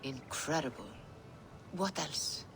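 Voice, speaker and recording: An actress playing a grave, probing Norse seer in a video game cutscene, measured and reverent in manner. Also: female voice, sits low, vocal fry, noisy background, heavily acted. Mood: intrigued, probing. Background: music.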